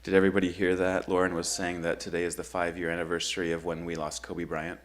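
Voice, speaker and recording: A man from Michigan facilitating a grief workshop at a church, talking in a relaxed, conversational way with frequent ums. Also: deep voice, quick pace. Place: church meeting room.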